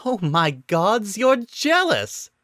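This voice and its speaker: A man's voice: high voice, huge pitch swings, slow pace, bright, forceful tone, no echo, clean studio sound, pitched above the performer's own voice, full character voice. A man voicing a playful, teasing young woman in a dramatic reading of a romantic comedy story. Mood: amused, teasing.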